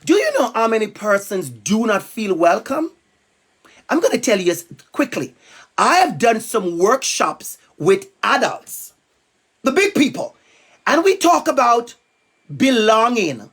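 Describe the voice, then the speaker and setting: A man's voice: high voice, sing-song, a little slow, fairly bright tone, breathy edge. A man with a Jamaican accent, from Jamaica, giving a playful, emphatic talk to young students as a school guest speaker. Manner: playful, emphatic, calls out to the kids.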